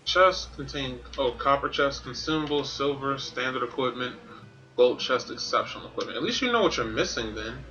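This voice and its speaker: A man's voice: medium pitch, expressive, moderate pace, sharp tone, dull audio. A man doing casual game commentary, musing playfully to himself and reading out what is on screen. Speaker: gaming streamer playing and commenting. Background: game music.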